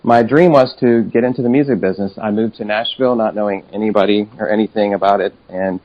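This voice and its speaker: A man's voice: on the low side, steady tone, fast, dull audio, a little hiss or hum. A man in his forties, from Chile, a career coach guest telling his story upbeat and enthusiastically. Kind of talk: interview conversation.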